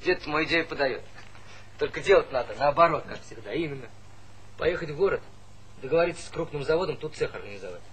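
A man's voice: a little high, expressive, fast, a bit bright, slight background noise, poor audio. An actor playing an eager, breezy young village man full of schemes in a Soviet radio play.

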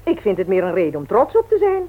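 An actress playing a good-humoured but firm middle-aged mother and grandmother, gently teasing, in a 1960s radio play. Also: medium pitch, wildly swooping pitch, quick pace. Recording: narrow, tinny 1960s radio recording.

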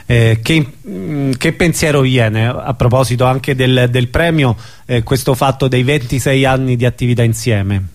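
A man hosting a radio interview, asking questions conversationally with hesitant, halting phrasing. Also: mid-range voice. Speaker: radio host interviewing theatre performers.

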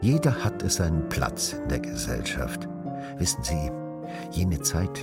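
Super deep wry radio play narrator, solemn and theatrical, music behind. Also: male voice, heavily acted. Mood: wry, presenting. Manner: deliberate, theatrical, ironic. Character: wry, solemn storyteller of the good old days. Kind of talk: scripted radio drama narration.

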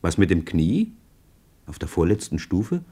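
A man's voice: low voice, very animated, unhurried, dark tone, slightly rough, full character voice. An actor playing a knowing male narrator in a radio play, conversational and wry as he follows his hero. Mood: confiding. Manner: conversational, wry, present-tense commentary. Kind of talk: scripted radio drama narration.

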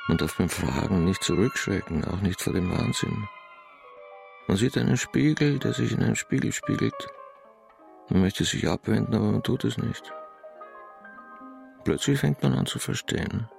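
An actor playing a weary, confused older man, a dead logician, resigned and halting, in a radio drama. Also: fairly low pitch, animated, darkish tone, soft background music. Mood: resigned, pensive. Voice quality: gravelly.

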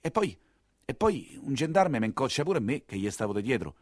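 A humble, excitable Roman coachman of the 1800s in a stage monologue. He tells his tale in earthy, colloquial Roman dialect, emotional and highly animated, his mid-pitched voice swinging widely. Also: normal speed, no room sound, studio quality. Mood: breathless, anxious. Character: Ninetto, excitable humble 19th-century Roman coachman telling his story.

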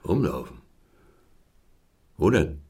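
Grumpy old watchman, radio play: extremely low, slow, laconic, unimpressed. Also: sing-song, a little mellow, husky, heavily acted. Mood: bored, grumpy. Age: older. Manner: laconic, sluggish, dismissive. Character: weary, grumpy older male security guard, slow and unimpressed. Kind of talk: naturalistic radio drama acting.

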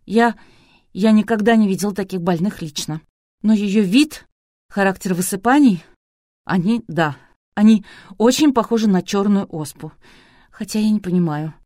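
A woman's voice: mid-range voice, a little mellow, no echo, studio quality, close to an everyday voice. Woman acting a distraught, tearful male doctor. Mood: frightened, halting. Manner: halting, tearful, stammering. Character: terrified middle-aged male doctor, broken, sobbing, pleading.

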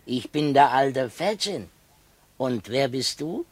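Sly old crook, slow and swooping. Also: male voice, mid-range voice, lively intonation, darkish tone. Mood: oily welcome. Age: older. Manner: wheedling, murmuring, repeats phrases slowly. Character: sly, greedy old criminal ringleader of child thieves. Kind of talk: 1950s German radio drama acting. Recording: noisy 1950s radio recording.

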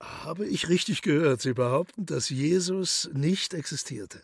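An actor playing a mysterious, courteous foreign gentleman who is the devil in disguise, husky and politely probing in a radio drama. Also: fairly high pitch, a little slow, no echo, studio quality. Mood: politely intrigued.

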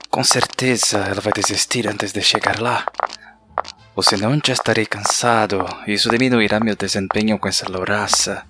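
A man's voice: on the low side, steady tone, moderate pace, heavy background noise, natural voice. An actor playing a short, insecure, embittered Bolivian man in an audio drama, speaking Portuguese with a Spanish accent and a self-pitying manner. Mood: anxious, self-pitying. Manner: self-pitying inner monologue mixing in Spanish words. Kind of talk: audio drama character acting. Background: music bed.

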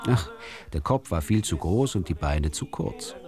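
A man narrating short literary stories for a radio drama in a dry, deliberate storytelling manner. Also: deep voice, expressive.

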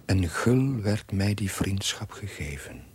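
Village chaplain, period TV drama voice-over. Low, heavily husky, measured, confessional. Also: male voice, expressive, a little mellow, heavily acted. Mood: reflective. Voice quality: husky, breathy. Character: earnest village chaplain reflecting on his life in diary narration. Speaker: narrating main character. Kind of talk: scripted diary narration in a TV period drama.

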